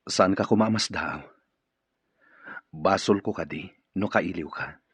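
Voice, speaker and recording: A man's voice: sits low, measured pace, breathy edge, no echo, full character voice. A man acting as an earnest, courteous male boss in an Ilocano radio drama. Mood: earnest, tender.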